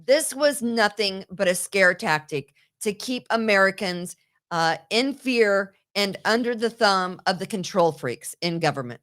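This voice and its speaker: A woman hosting a political talk show, talking in an indignant, rapid way and often repeating herself. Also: mid-range voice, lively intonation, fairly bright tone. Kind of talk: opinionated political chat. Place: New York.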